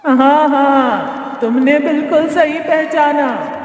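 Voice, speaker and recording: A woman voicing a cartoon watermelon possessed by an old auntie's spirit, with a drawn-out, taunting manner. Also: high voice, reverberant, in a large hall, faint music behind. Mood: eerie, smug.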